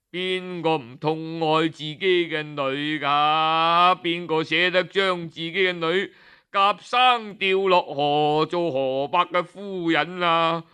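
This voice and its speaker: A man's voice: high-pitched, sharp tone, clear, resonant tone, heavily acted. A man voicing a grieving old village elder who sighs and laments as he speaks. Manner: lamenting, sighing.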